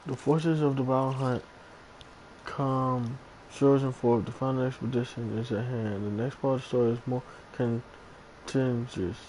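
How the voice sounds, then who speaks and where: A man's voice: medium pitch, fairly even intonation, measured pace, a little mellow, a little hiss or hum, poor audio. A man livestreaming a game, reading dialogue aloud and making casual comments.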